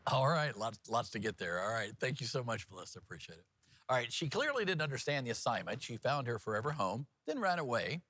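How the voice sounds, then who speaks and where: A man's voice: medium pitch, sing-song, fast, bright, forceful tone, no room sound. A man anchoring a TV newscast in a jokey, folksy, conversational way, moving between banter and scripted reads. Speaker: TV news anchor and feature reporter.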